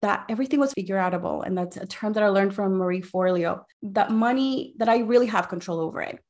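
A woman from Ecuador, a podcast guest, telling her story in a quick, enthusiastic way with frequent "like". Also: medium pitch, darkish tone. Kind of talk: conversational interview.

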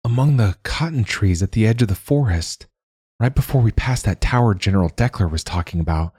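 A man voicing a talkative, persistent young woman who teases and chatters quickly. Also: on the low side, lively intonation, a little mellow, husky, no echo, clean studio sound, voice pushed up. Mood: hushed. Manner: talkative, teasing, rapid.

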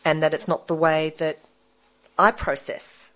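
Low-pitched woman in her forties, earnest reflective guest, halting delivery. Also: steady tone, fairly bright tone, poor audio. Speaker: guest entrepreneur. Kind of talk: interview conversation.

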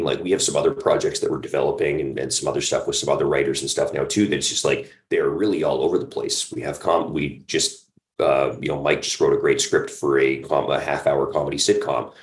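Very deep-voiced man in an echoey room. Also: fairly even intonation, a little fast. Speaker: podcast guest, producer. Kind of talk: casual interview chat. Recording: roomy, echoing sound.

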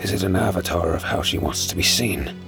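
A man narrating a fan audio drama in first person, measured and brooding. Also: low voice, over music.

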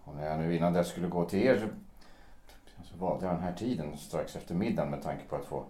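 A sardonic old friend, played by a man talks in a dry, wry way in an audio drama. His voice is low and dark. The room around him is echoey. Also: close to an everyday voice.

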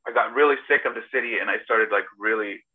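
Phone-like, lowish-voiced man on a call. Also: fast, breathy edge. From born in Serbia, grew up partly in Toronto, Canada. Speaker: screenwriter, podcast guest. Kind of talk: casual anecdotal interview answers. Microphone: video call. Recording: thin, phone-like internet call audio.

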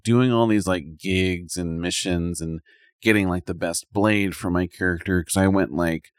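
A man's voice: low-pitched, steady tone, normal speed, no room sound, studio quality. A man in a casual, rambling chat about video games as a podcast co-host, speaking colloquially with lots of "like".

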